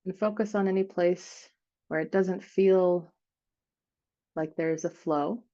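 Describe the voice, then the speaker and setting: A woman leading an improvised guided meditation, speaking in gentle, unhurried phrases with frequent pauses. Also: lowish voice, fairly even intonation, lo-fi sound.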